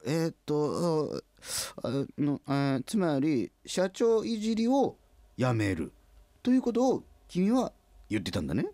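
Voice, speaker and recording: An actor playing a flustered, good-natured middle-aged company president in a comic radio drama, stammering and hesitant. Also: male voice, on the high side, very animated, slow pace, warm tone, no echo, heavily acted. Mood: baffled, panicky.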